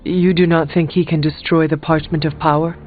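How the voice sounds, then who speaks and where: A candid, calm counselor from a video game, acted by a man, with a somewhat high voice. He speaks as a loyal friend, formal, measured and earnest. The audio is thin and phone-like over faint music. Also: lightly acted. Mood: calm, wry.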